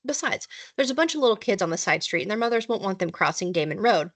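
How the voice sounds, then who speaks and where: A woman's voice: mid-range voice, sing-song, fast talker, no echo. Confident young girl for a storybook, read by a woman, persuasive, insistent, explanatory.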